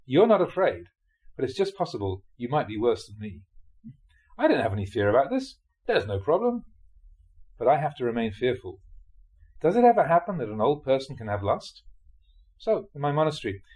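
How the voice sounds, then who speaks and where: Measured man reading Buddhist teachings aloud. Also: mid-range voice, big pitch swings, darkish tone. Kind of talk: scripted audiobook-style reading of dharma talks.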